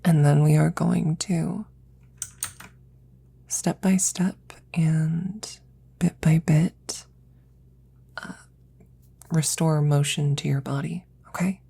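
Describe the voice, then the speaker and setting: A woman playing a worried, tired scientist caring for her best friend in an intimate ASMR roleplay, breathy and often near a whisper, hesitant and reassuring. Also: low-pitched, fairly even intonation, slow pace, pauses often, mellow, heavily acted. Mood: concerned, careful.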